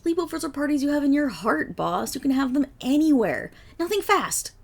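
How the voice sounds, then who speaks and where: A woman's voice: high voice, sing-song, brisk, creaky, full character voice. A woman voicing an affectionate, silly dog girl in an ASMR roleplay, bubbly, eager and playful.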